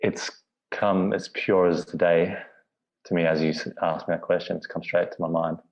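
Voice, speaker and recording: A man speaking as a podcast guest, talking candidly and haltingly, often restarting his words. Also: deep voice, little pitch movement, dull audio. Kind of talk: candid personal conversation.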